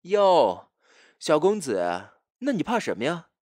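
Casting: cocky jealous male rival played by a man, very animated, husky, theatrical, dry close sound, audio drama. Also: a little high, takes it slowly, dry recording, heavily acted. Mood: taunting, threatening. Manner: taunting, drawling, theatrical.

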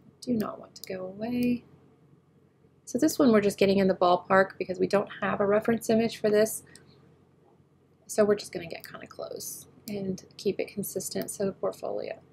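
A woman getting over a head cold, slightly nasal, talking through a photo editing tutorial as she works and often trailing off quietly. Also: medium pitch, fairly even intonation, moderate pace, creaky, slight background noise. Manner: thinks aloud while working, often trailing off quietly. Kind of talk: screen-recorded editing tutorial.

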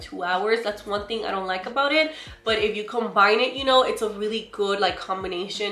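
A young woman with a loud voice, chatting emphatically to the camera from her bedroom as she reviews beauty products and keeps calling her viewers "girl.". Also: mid-range voice, reverberant. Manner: chatty and emphatic, often says "girl" and "you guys". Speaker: beauty vlogger. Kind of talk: casual product haul talk to camera. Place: bedroom, indoors.

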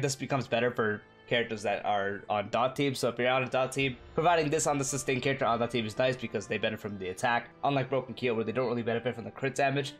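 Sick guy, nasal and stuffy, talking fast thru a game guide, light music in the back. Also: medium pitch. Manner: fast, energetic, chatty. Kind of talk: casual game guide voice-over.

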